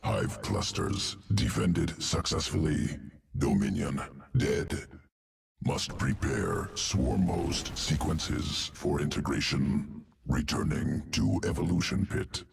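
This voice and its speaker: A man voicing a cold, calculating insectoid alien in a video game, speaking in clipped, telegraphic phrases with a gravelly, guttural voice. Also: extremely low pitch, steady tone, slow, a little hiss or hum, heavily acted. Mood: cold, clinical. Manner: clipped telegraphic phrases, dropped words, detached. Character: alien insectoid evolution master of a swarm, cold, clinical, calculating. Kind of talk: video game character dialogue.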